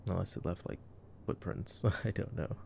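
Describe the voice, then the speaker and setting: A young man murmurs casual ASMR movie commentary in a very low voice. It is heavily fried and gravelly, with a dark, mellow tone. His delivery is drowsy and slangy, like relaxed boyfriend roleplay. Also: normal speed, slight background noise. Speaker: ASMR voice performer.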